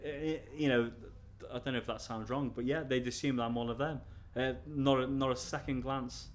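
A middle-aged man with a Scouse accent answers interview questions at a mid pitch. He rambles in a casual, chatty way, full of fillers and restarts. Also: steady tone, brisk, slight background noise. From North Wales.

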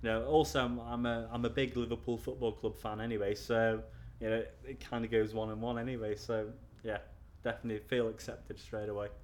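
A man's voice: mid-range voice, flat delivery, a little fast, few pauses, slight background noise. A middle-aged man from North Wales with a Scouse accent, talking casually in an interview and rambling with lots of fillers and restarts.

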